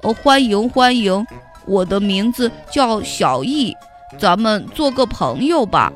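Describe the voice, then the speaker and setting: Woman putting on a slow, low honest little ant. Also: animated, soft background music. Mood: earnest. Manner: slow, lower and patient, explaining. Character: honest, friendly little ant, calm and earnest.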